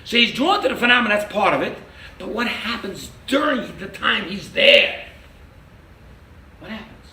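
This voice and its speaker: An older man teaching a lively interactive Torah class as a rabbi, quizzing his audience and joking. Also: high voice, moderate pace. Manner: animated, quizzes the audience, jokes. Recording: echoey room from far off with noise.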